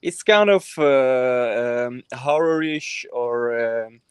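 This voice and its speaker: A man from Israel, pitching his crypto project in a hesitant chat full of ums and restarts. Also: takes it slowly, clean tone, no room sound, clean studio sound. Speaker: crypto project team member. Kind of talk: casual livestream chat.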